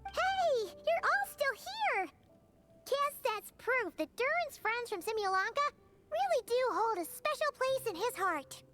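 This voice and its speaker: A woman voicing a small chirpy, outspoken pixie girl in a video game, with a squeaky childlike voice and a cheeky, exclamatory manner. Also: very animated, measured pace, heavily acted. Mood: bright, eager. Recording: loud music behind.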